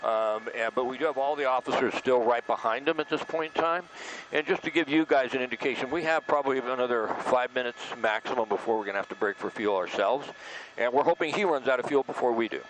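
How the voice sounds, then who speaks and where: A man's voice: medium pitch, fast, noisy background. A man giving live breaking-news commentary as a helicopter reporter, explaining and speculating as events unfold. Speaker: helicopter news reporter covering a police pursuit.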